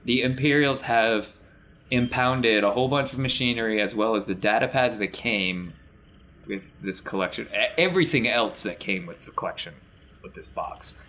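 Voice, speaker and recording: A man's voice: mid-range voice, moderate pace, fairly bright tone, a little hiss or hum. A man acting as game master, narrating a tabletop roleplaying game in a conversational way.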